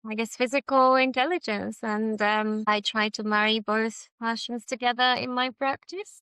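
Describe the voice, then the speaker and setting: A woman's voice: on the high side, steady tone, moderate pace, a bit bright, clean tone, dry recording. A woman from Japan who speaks English as a second language, a leadership coach chatting casually and laughing easily. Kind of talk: casual conversation.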